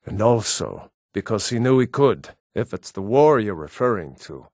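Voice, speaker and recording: An actor playing a proud, stern Nord man in a fantasy video game, with a measured, weighty delivery. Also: on the low side, very animated, a little mellow, dry recording, clean studio sound. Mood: grave, guarded.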